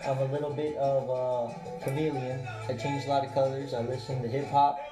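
A man's voice: mid-range voice, flat delivery, brisk. Brash country-sounding man, roomy. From Cuban family roots. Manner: loud, brash and joking. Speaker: podcast guest. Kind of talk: casual chat. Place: a house. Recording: roomy sound with music behind.

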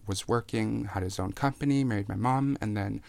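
A man's voice: lowish voice, normal speed, creaky, a little mellow, no echo. A man in his twenties, from Taiwan, talking candidly and with self-mocking humor as a podcast guest. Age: 20s. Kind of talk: casual interview chat.

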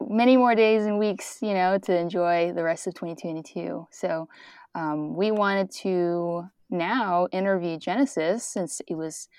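A woman's voice: fairly low pitch, a little mellow, clean tone, dry recording, clean studio sound. A woman in her twenties co-hosting a podcast, upbeat and encouraging as she asks questions. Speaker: podcast co-host and interviewer. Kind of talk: casual conversational interview.

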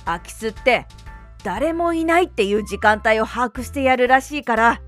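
A woman's voice: fairly high pitch, moderate pace, fairly bright tone, close to an everyday voice. A woman playing a practical, assertive mother in an amateur family radio drama, talking in a casual, matter-of-fact way. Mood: casual concern. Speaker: actor in a family audio drama. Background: background music.

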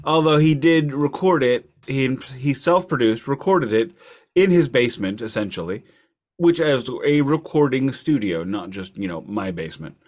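Man with lively, swooping intonation chatting casually. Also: medium pitch, normal speed. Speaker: podcast host. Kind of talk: casual music chat.

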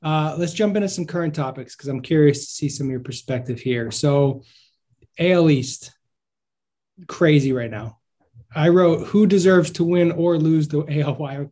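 Podcast host guy, fairly high but mellow voice, laid back, tossing out questions. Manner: relaxed, asks open questions. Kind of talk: casual podcast hosting.

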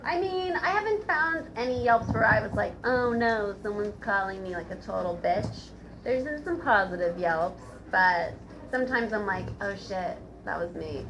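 A woman's voice: medium pitch, lively intonation, moderate pace, background noise. A woman guest telling anecdotes in casual, sweary, sardonic chat. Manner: sweary, sardonic, hesitant phrasing with frequent "like". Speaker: podcast guest. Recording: crowd chatter behind voice.

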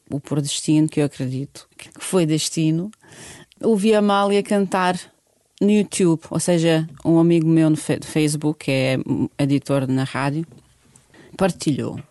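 Lady guest, deep warm voice, searches for words, dry close sound. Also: no echo. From Bosnia. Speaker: guest singer. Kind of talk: radio interview.

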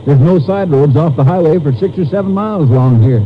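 A man acting a folksy small-town sheriff in a fifties radio drama, pitched a little high for a man. He is plain-spoken and dogged. The vintage recording is dull with faint noise. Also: expressive, brisk, natural voice. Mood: alert, confident.

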